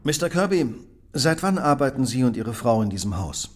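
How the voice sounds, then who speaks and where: A man's voice: medium pitch, big pitch swings, moderate pace, slight background noise, natural voice. An actor playing a shrewd, composed male detective in a German radio drama, probing and precise with dry irony. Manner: probing, precise, dryly ironic.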